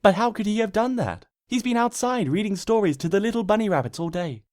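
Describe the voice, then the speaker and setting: A man puts on a sweet young female pony from a cartoon storybook. The voice is lifted high and moves in lively, lilting swings. The manner is gentle, trusting and concerned. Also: fairly quick. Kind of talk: cartoon fan-fiction character reading.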